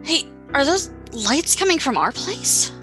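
A woman's voice: high-pitched, very animated, unhurried, music in the background. An actress playing a brash, defiant, foul-mouthed woman who likes a drink, in an audio drama. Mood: tipsy, worried. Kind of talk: modern audio drama acting.

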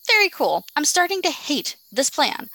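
Radio drama lead female actor: young, high, lively and expressive, earnest and outspoken, faint music underneath. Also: normal speed, dry recording, heavily acted. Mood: wry, impatient.

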